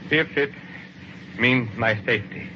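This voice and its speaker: An actor playing a wealthy, guilt-ridden murderer in an old radio crime drama, speaking in a formal, measured, terse way. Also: male voice, mid-range voice, close to an everyday voice. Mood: guarded, resigned. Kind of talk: mid-century American radio crime drama acting, first-person narration. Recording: dull, noisy old radio recording.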